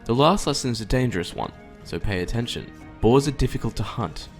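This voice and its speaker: A man's voice: medium pitch, lively intonation, fairly quick, soft background music. A man reading scripted tutorial narration for a strategy game, instructing step by step.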